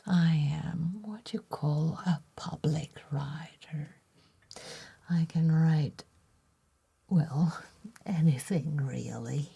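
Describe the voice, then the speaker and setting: Very slow, whispering woman bookseller played softly. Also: low voice, full character voice. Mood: gentle, informative. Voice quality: breathy whisper. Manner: slow, deliberate, polite, old-fashioned formal phrasing. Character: gentle, courteous, quietly proud village bookshop letter-writer woman. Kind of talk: soft-spoken ASMR roleplay, whispering.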